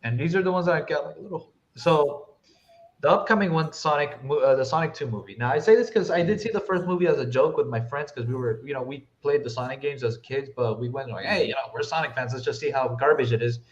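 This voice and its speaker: A man's voice: mid-range voice, quick pace. A man chatting casually as a podcast host reviewing films, on a dull lo-fi recording. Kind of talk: casual film review chat. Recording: dull lo-fi sound.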